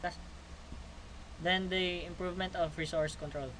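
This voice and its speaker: A man's voice: sits high, fairly even intonation, moderate pace, a bit bright, low-quality recording. A twenty-two-year-old man from the Philippines, a student presenting a class project, reading stiffly and repeating phrases. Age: 20s. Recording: noticeable background noise.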